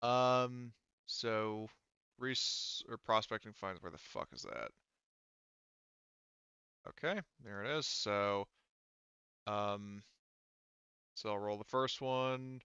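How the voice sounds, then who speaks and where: A man's voice: lowish voice, lively intonation, takes it slowly, long pauses, bright, forceful tone, clean tone, dry recording. A man acting as game master, casually refereeing a tabletop game and thinking aloud in a hesitant way. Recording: band-limited, dull dry audio.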